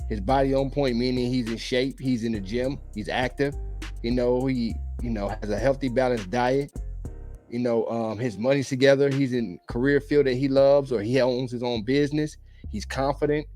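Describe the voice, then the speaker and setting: A man talks through dating opinions in a loose, rambling, conversational way. His voice is warm and mellow, with a slight hoarseness from a cold. Faint music plays in the background. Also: mid-range voice. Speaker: dating commentary vlogger. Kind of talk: casual opinionated commentary.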